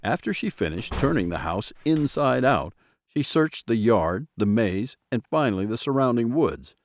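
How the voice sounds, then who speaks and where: Dramatic male narrator, animated delivery. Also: medium pitch, moderate pace, no echo. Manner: suspenseful storytelling with dramatic emphasis. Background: music soundtrack.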